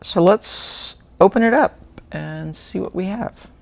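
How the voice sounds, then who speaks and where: A woman's voice: mid-range voice, slow, slight background noise. A woman, hosting a book channel and chatting casually through an unboxing with fillers and small laughs. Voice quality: slightly gravelly.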